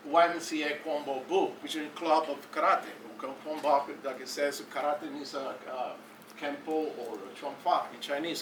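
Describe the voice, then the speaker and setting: Cuban man speaking haltingly from the floor. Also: fairly high pitch. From Cuba. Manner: halting, many fillers and restarts. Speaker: audience member at academic taekwondo conference. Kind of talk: unscripted comments from the floor. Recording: roomy hall from a distance with faint noise.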